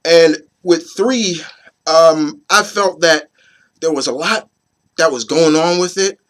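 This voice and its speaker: A hoarse man in his thirties, getting over a cold, hosting his opinion video in a rambling, casual way with many fillers and restarts. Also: fairly high pitch, slow, fairly bright tone. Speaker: host of an opinion video series. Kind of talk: casual chat to camera.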